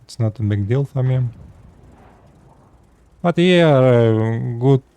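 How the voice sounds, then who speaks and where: Man in his thirties, Russian accent, unhurried and hesitant, slightly mellow tone, casual vlogger talk. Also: mid-range voice, animated, clean tone, no room sound. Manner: halting, searching for words in second language. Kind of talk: casual personal talk to camera.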